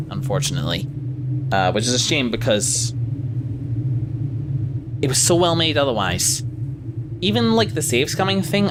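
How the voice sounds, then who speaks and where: A man reviewing a game he just finished, with a voice going hoarse. He talks casually, with hesitations and restarted phrases. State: losing his voice. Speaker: let's play gamer. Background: traffic rumble and sirens outside.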